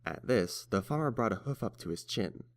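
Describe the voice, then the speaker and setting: A man's voice: on the low side, lively intonation, brisk. A man reading scripted story narration as the narrator.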